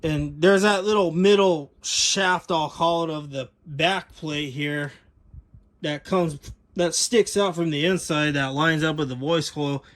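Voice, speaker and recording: A man's voice: on the high side, measured pace, fairly bright tone. An American man giving a casual, unscripted walkthrough in a rambling, folksy, conversational way. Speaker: vlogger showing vintage audio gear.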